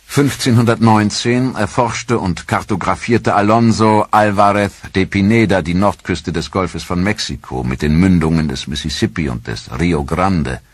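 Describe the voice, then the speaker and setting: A man reading a scripted history documentary narration in a measured, grave storytelling manner. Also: sits low, husky, dry recording.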